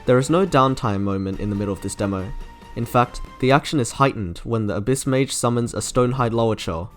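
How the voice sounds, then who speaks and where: A man's voice: medium pitch, fairly quick. A young man reading scripted opinion commentary as a video essayist. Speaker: video essayist reviewing game trailers. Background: soft game music.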